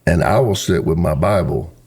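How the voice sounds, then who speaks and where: A middle-aged man, hoarse today, talks in a very low, husky voice. He is a pastor hosting the show, and his speech is earnest and full of homespun sayings. Fry runs through his words. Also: moderate pace, a little mellow. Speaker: pastor and podcast host. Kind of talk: casual conversation, closing a podcast episode.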